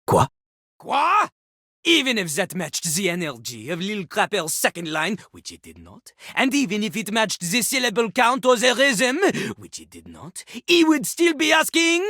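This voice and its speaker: A woman's voice: lowish voice, fairly bright tone, no room sound, studio quality, exaggerated voice. A woman voicing a vain, scornful French-accented toilet who fancies himself a rap master, in a theatrical video game performance with a pompous, dismissive manner. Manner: pompous, speaks of himself in third person, dismissive.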